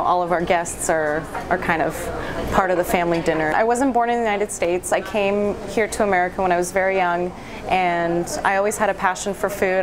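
Relaxed woman from Bulgaria, chatty interview. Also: on the low side. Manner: relaxed, conversational, with frequent fillers. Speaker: restaurant owner. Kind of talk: talking-head interview. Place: indoors, in front of a hedge backdrop.

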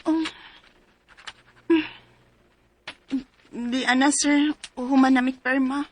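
A woman's voice: high-pitched, steady tone, slow, noisy background. An actress with a Cebuano accent playing an aggrieved young nanny who narrates her problem letter in a radio drama. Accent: Cebuano Filipino. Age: young adult.

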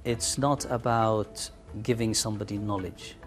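A man with a fairly low voice gives measured film interview answers. Music runs clearly underneath. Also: fairly even intonation.

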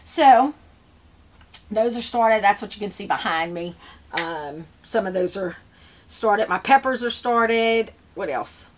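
A woman's voice: mid-range voice, expressive, takes it slowly, slight background noise. Chatty woman in her fifties. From Louisiana, US. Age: 50s. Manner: chatty, rambling, with many fillers and restarts; works with seedling trays while talking. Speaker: flower farmer. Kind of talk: casual chat to camera. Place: indoor seed-starting shed with grow shelves.

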